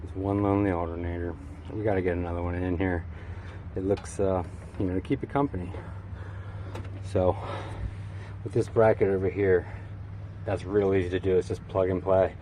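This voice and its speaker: A man's voice: low-pitched, steady tone, moderate pace, long pauses, mellow, slight background noise. A man giving a casual, unscripted walkaround of his vehicle in a folksy, colloquial way with hobbyist slang. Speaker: vlogger showing his vehicle build.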